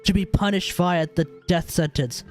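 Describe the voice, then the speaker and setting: A woman's voice: lowish voice, normal speed, darkish tone. A woman giving casual live commentary on a game, blunt and slangy, often repeating phrases, over game music. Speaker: game streamer.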